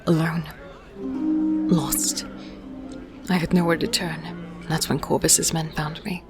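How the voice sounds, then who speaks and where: An actress playing a guarded, quietly confident healer in a fantasy audio drama, with a deliberate, hushed delivery. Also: female voice, low voice, little pitch movement. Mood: grieving. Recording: music playing behind.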